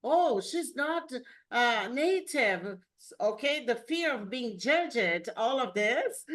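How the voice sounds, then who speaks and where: A woman's voice: on the high side, animated, takes it slowly, a bit bright. A woman whose first language is not English, hosting a spiritual coaching interview in an encouraging, reflective way. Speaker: host.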